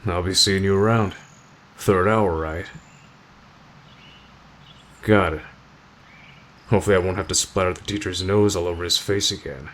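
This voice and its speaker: A man playing an aloof, stoic teenage delinquent boy, curt and deadpan, in an ASMR roleplay addressed to the listener. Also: low-pitched, normal speed, long pauses, slight background noise. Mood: grudgingly friendly.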